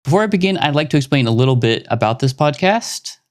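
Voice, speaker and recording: A man, hosting a casual gaming podcast in an earnest, steady way with the odd filler pause. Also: medium pitch. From Arkansas, United States. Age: 20s. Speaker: podcast host.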